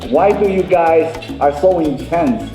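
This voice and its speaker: Guy speaking emphatically, high voice, really echoey, latin music behind. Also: slow pace, dark tone.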